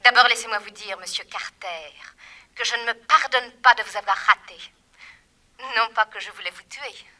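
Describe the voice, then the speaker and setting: A woman's voice: on the high side, normal speed, sharp tone. An actress playing a cruel, mocking female criminal mastermind in a 1960s French radio crime serial, with a taunting, sinister manner.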